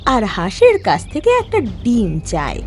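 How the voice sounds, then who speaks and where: A woman narrating an animated children's story in an expressive, dramatic storytelling manner. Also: mid-range voice, a little slow, over music.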